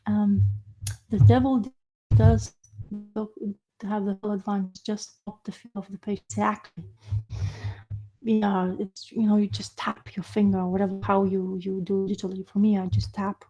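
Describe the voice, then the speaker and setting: Lithuanian-native young woman rambling on a stream, soft breathy voice, lots of ums. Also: mid-range voice, level tone, rough recording. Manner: halting, many fillers and restarts. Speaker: livestream host. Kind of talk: casual live chat about comics.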